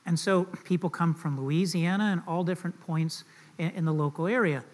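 Middle-aged Southern male pastor, slightly higher voice, relaxed joking sermon style. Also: brisk. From Southern United States. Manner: conversational and joking, asks the audience questions.

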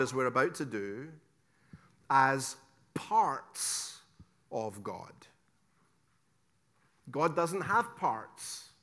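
Scottish preacher, middle-aged, raised voice, emphatic teacher with hushed asides. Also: male voice, on the high side. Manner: emphatic, swings between loud stresses and quiet asides, rhetorical questions. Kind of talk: theology lecture.

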